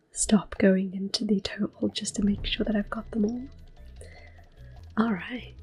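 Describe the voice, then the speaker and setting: A woman in her thirties giving soft-spoken, whispered ASMR commentary while playing a video game, gentle and unhurried. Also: mid-range voice, husky, rough recording. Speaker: ASMR gaming host. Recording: soft game music in background.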